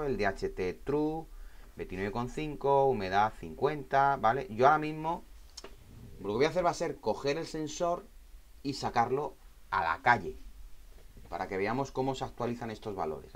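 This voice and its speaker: A man instructing in an informal, explanatory tutorial style, often checking in with short tag questions. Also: mid-range voice. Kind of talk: informal spoken screen tutorial.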